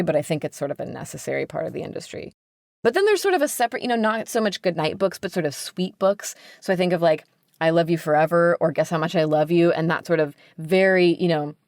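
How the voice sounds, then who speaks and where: A woman's voice: deep voice, darkish tone, no room sound, studio quality. A woman talking casually as an interview guest, explaining things in a chatty way with lots of "like" and "sort of". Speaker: podcast guest, children's book editor.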